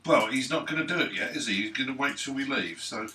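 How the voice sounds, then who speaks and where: A man's voice: medium pitch, fast, bright, forceful tone. A man playing a tabletop game, casually planning moves and bantering with the other players. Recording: echoey room, distant mic, clear noise.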